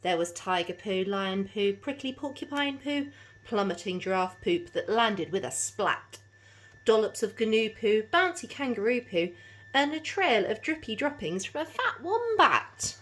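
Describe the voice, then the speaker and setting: Female storyteller, teacher style, for children's read-aloud; highly animated, varied intonation. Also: unhurried.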